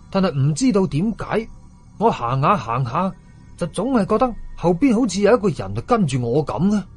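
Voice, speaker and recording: A man's voice: on the high side, husky. A man with a Hong Kong Cantonese accent telling a horror story in a colloquial, dramatic first-person narration. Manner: animated, colloquial.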